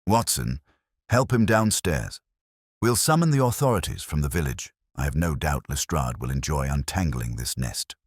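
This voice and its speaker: A man plays a razor-sharp Victorian detective in an audiobook. The voice is very deep, cold and composed, with formal phrasing and a dry sound. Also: lively intonation, moderate pace, husky, dry recording, exaggerated voice. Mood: cold, resolute.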